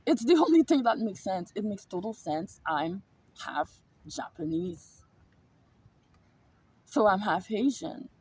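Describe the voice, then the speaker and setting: A woman with a slightly husky voice tells a personal story to camera. She is emphatic and repeats herself, and she swears freely as she talks. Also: mid-range voice, expressive, slow pace, pauses often, mellow, poor audio. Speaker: spiritual vlogger. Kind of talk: casual storytelling monologue.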